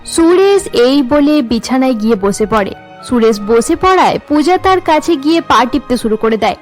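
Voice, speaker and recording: High-pitched woman narrating a cartoon story. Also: expressive, normal speed, faint music behind.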